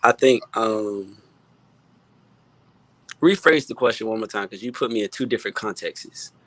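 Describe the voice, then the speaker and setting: A man with a fairly low voice talks casually on an online call. The sound is dry, and he gives encouraging advice informally, often circling back over a phrase as he goes. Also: normal speed, a bit bright, dry recording, rough recording. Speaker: guest music producer. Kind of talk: casual chat, giving advice. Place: remote online video call.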